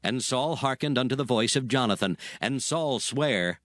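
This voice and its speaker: A man's voice: mid-range voice, animated, normal speed, a bit bright, dry recording. A man narrating a dramatized scripture reading in solemn, formal archaic phrasing.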